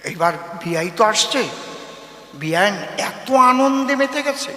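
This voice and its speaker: Male comic storyteller, high-pitched and teasing, echoey live PA sound. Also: expressive, unhurried, sharp tone. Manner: teasing, mock-bashful, addresses listeners directly. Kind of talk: humorous folk storytelling to an audience. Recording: echoey reverberant stage sound with faint noise.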